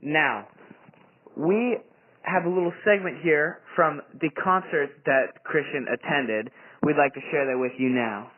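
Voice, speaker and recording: A man's voice: fairly high pitch, a little slow. A young man hosting a mock 1920s radio broadcast as its presenter. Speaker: radio show host. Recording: muffled lo-fi radio-style audio.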